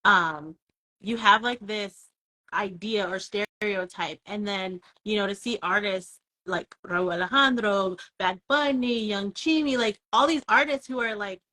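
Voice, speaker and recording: A woman's voice: medium pitch, measured pace, sharp tone, rough recording. A woman hosting a music interview, chatty and enthusiastic, with lots of fillers, on a video call. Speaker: host, music blogger. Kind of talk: casual interview chat.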